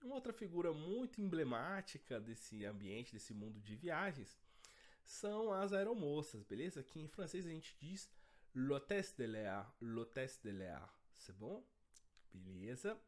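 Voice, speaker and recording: A man with a hoarse, cold-roughened voice teaches an online language lesson. His pitch swings widely as he talks, lively and animated. He keeps checking in with his students as he goes. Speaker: French teacher.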